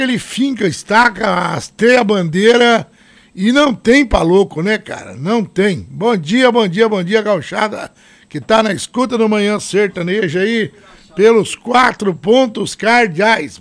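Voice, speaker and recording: A man's voice: sits high, fairly bright tone. A man hosting a morning radio show, chatting upbeat and reading out listener messages. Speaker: radio host. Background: music.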